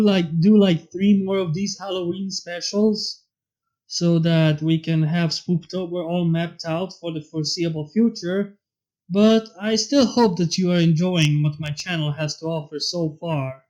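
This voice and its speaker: A young adult woman reading a story aloud as a narrator. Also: fairly low pitch. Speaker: fanfiction reader and channel host. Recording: slightly dull audio, soft background music.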